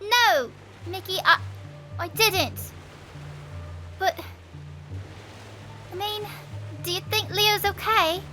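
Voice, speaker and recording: A woman's voice: very high-pitched, slow pace, pauses often, sharp tone, faint music behind, full character voice. A woman playing an eager, scrappy little street girl in an audio drama, wide-eyed and hesitant. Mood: downcast.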